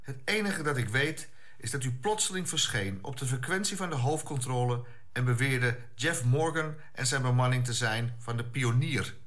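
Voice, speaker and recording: A bright, forceful male voice of authority , acted by a man. He is a space-agency commander in a radio play, questioning people in a formal, measured, official way at a middle pitch. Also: lively intonation, lightly acted. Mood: grave, official. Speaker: actor in a science fiction audio drama.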